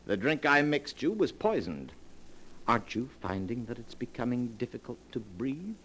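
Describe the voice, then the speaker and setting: A cold-blooded male schemer in an old radio thriller. His delivery is smooth and courteous, with wide, theatrical swings of pitch and a calculating edge. The forties broadcast recording carries some light noise. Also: very animated, moderate pace, a bit bright. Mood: coldly menacing. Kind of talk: 1940s radio drama acting.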